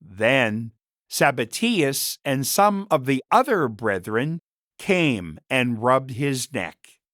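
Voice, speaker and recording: A man narrates a story at a slow, measured pace. His mid-pitched voice moves expressively up and down through the scripted lines, and the sound is dry, with very little room around it. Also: sing-song, a bit bright, no echo.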